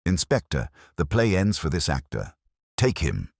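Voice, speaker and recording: A man's voice: low-pitched, very animated, unhurried, creaky, a little mellow, no echo, studio quality, heavily acted. A man voicing a grave, brilliant detective in an audiobook story, with clipped, solemn, theatrical pronouncements and a scornful edge.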